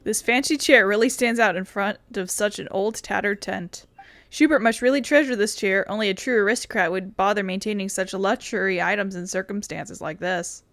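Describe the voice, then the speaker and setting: A woman's voice: mid-range voice, moderate pace, a bit bright, no room sound. A woman doing casual gameplay commentary, reading dialogue aloud and dropping in sweary asides. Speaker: game streamer.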